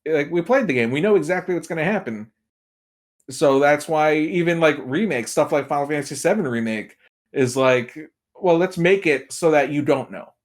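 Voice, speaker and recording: A man's voice: a little high, expressive, normal speed. A man chatting casually and giving emphatic opinions as a podcast guest, repeating points for effect. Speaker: podcast guest, film and game pundit.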